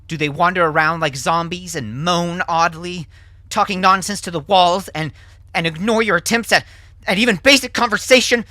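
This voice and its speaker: A man's voice: high voice, normal speed, no room sound, clean studio sound, pitched above the performer's own voice. Man voicing a bitter, sardonic wife. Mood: anguished, breaking. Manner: sharp, sardonic, sometimes near whispering.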